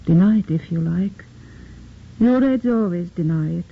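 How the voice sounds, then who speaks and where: An actress playing a grieving young widow, quiet and firm, in 1940s radio acting. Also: female voice, on the low side, normal speed, creaky, husky. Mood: bitter. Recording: tinny old radio recording.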